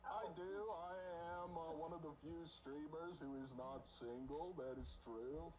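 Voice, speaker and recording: A man's voice: on the high side, fairly even intonation, measured pace. Earnest male streamer over background noise. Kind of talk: casual chat.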